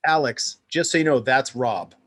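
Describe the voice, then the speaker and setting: A man's voice: mid-range voice, normal speed, a bit bright, no room sound. Loose emcee-style man, dry mic. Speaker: podcast co-host. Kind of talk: casual chat, introducing a guest.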